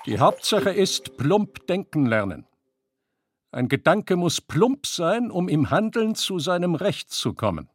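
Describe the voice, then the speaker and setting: A man with a husky voice declaiming a scripted maxim in an audio drama. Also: sits high, sing-song, measured pace, no room sound, studio quality, full character voice.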